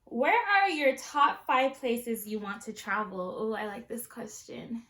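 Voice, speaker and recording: A woman's voice: a little high, measured pace, bright, forceful tone, reverberant. A woman in her twenties from Southern California, chatting casually and sassily to her vlog viewers. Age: 20s. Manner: chatty, sassy, playful asides. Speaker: beauty vlogger. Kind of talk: casual chat.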